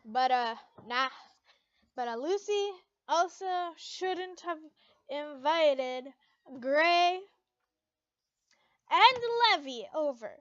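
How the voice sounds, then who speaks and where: High-pitched woman reading aloud, slow and halting. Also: lively intonation, a bit bright, no echo, highs cut off. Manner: informal, halting, stumbles over words while reading. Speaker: fan fiction reader, channel host. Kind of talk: casual reading aloud with chatty asides.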